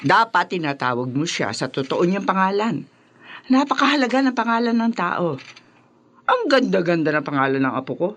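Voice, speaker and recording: A woman's voice: fairly low pitch, big pitch swings, moderate pace, highs cut off. An actress playing a proud, talkative, bossy older mother and mother-in-law in a radio drama. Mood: bossy, chatty. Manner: chatty, bossy, exclamatory. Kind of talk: Tagalog radio drama acting.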